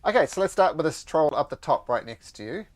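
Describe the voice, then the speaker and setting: A man's voice: a little high, big pitch swings, fast, no echo. A man from New Zealand with a hard-to-place mixed accent, running a tabletop game as game master and calling out dice rolls in a laid-back way. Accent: mixed international accent.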